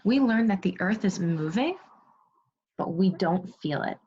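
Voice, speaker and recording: A woman's voice: mid-range voice, expressive, a little slow, a little mellow, a little hiss or hum. A woman teaching second grade in an interactive remote read-aloud, giving instructions, asking questions and praising pupils. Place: remote video class. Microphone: video call. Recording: dull lo-fi video call audio.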